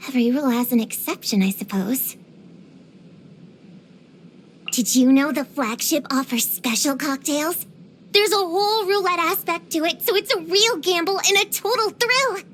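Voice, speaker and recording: A woman's voice: very high voice, moderate pace, fairly bright tone, slight background noise. A woman voicing an earnest, bookish young girl witch hunter in a video game, precocious, proud and slightly dramatic. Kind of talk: scripted game voice lines.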